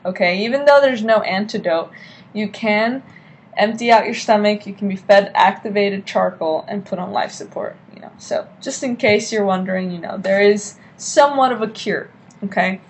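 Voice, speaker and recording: A woman's voice: mid-range voice, moderate pace, slight background noise. A young woman giving an informal class speech into a laptop webcam in a hotel room, often checking in with "okay?". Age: young adult. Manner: chatty, keeps checking in with "okay?". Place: hotel room at night, lamp-lit. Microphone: laptop webcam at desk.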